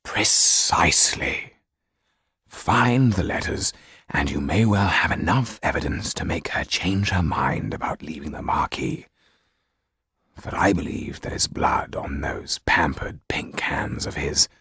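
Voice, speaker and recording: Aged storybook lawyer: very husky, creaky, low, grave and halting. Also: male voice, bright, forceful tone, no echo, whispered, studio quality, heavily acted. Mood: grave, confiding. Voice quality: breathy, husky, cracked. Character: old French lawyer, weary, hesitant, dignified.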